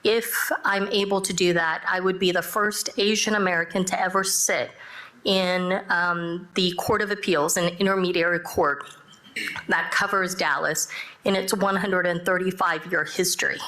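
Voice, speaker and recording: A middle-aged woman whose first language is Korean, introducing herself on a panel in deliberate, halting phrases. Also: fairly low pitch, fairly even intonation, pushed and bright. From born in South Korea, raised in the US. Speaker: judge, panelist. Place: panel event room with audible echo. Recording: roomy live event sound.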